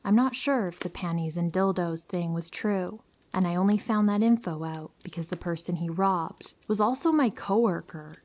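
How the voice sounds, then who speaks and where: A woman calmly reading true horror stories aloud as a narrator, in an even storytelling manner. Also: fairly low pitch, moderate pace, hardly any pauses.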